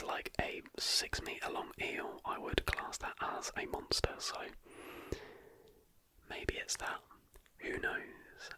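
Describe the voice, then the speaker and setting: A man whispers throughout as an ASMR host, with no voiced tone, only breath. The whisper is very bright and sibilant. He reads and comments softly and deliberately, like someone telling a story.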